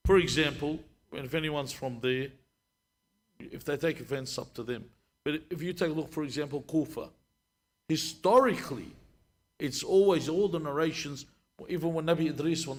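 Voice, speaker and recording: A man in his thirties, a sheikh, answering questions and telling stories in a live discussion. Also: medium pitch. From Lebanon. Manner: conversational, storytelling, many restarts. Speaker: sheikh, guest speaker.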